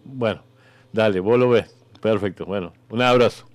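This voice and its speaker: A man's voice: fairly low pitch, moderate pace, no echo, close to an everyday voice. A man with an Argentine accent acting in a comic radio sketch as an evasive, bureaucratic God, casual, hesitant and a little condescending. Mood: exasperated, placating. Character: evasive, bureaucratic God at eternity's front desk.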